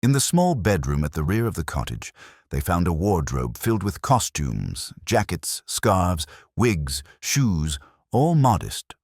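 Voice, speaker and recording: A man with an extremely low voice narrates a scripted mystery. His pitch moves expressively through the sentences, and the recording is dry, with little room sound. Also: slightly rough, dry recording. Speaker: audiobook narrator.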